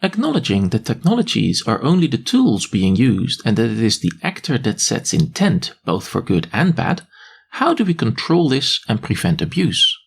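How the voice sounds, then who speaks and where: A man's voice: medium pitch, wildly swooping pitch, moderate pace, vocal fry, husky. A man acting as moderator, reading out submitted questions to a speaker.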